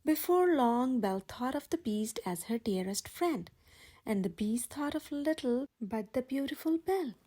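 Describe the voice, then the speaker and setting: High, breathy woman reading a storybook. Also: lively intonation, normal speed, mellow. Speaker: children's storybook reader. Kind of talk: expressive scripted read-aloud narration for children.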